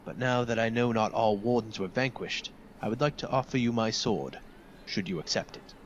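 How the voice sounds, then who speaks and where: A man plays a loyal, earnest knight in a fantasy video game. His voice sits fairly low and his speech is formal and courteous. There is slight noise behind him. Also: normal speed, lightly acted, low-quality recording. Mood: earnest, resolute.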